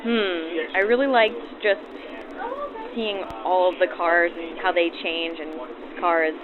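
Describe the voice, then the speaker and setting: A woman's voice: lowish voice, fairly even intonation, slow pace. A young woman from New Orleans chatting casually and informally in an interview, through a vintage 1940s radio filter. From New Orleans, United States. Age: young adult. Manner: chatty, informal, self-deprecating. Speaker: passenger and reenactor being interviewed. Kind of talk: casual, spontaneous interview chat. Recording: tinny old radio sound, crowd behind.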